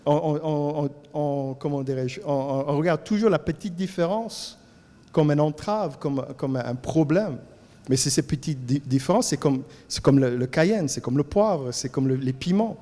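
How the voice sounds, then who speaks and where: A man with a Cajun French accent from Louisiana gives an animated talk at a colloquium, often repeating words as he searches for the next one. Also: fairly high pitch, normal speed, in a large hall. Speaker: colloquium speaker. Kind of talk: lively talk to an audience. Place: lecture hall.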